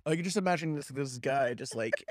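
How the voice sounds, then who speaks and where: A man co-hosting a podcast who reacts to the story with quick questions and laughter in casual chat. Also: mid-range voice, crowd noise. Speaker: podcast co-host.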